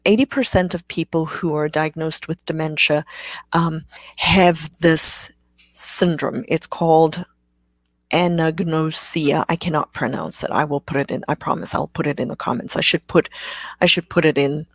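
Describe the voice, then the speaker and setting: Woman, low voice, dry close sound, chatty live Q&A host, self-deprecating. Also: fairly even intonation, moderate pace, no echo. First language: Afrikaans. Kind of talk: live-streamed Q&A talk.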